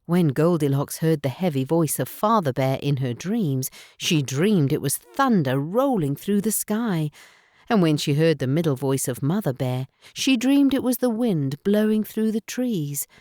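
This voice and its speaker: Slow, low-pitched woman storyteller. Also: no echo, studio quality. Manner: simple, carefully phrased, expressive. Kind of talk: slow scripted children's bedtime story narration.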